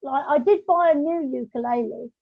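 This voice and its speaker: A young woman with a high voice chats casually on a podcast. She is chatty and agreeable, and the audio sounds slightly dull and lo-fi. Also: moderate pace, vocal fry. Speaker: podcast host. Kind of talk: casual chat.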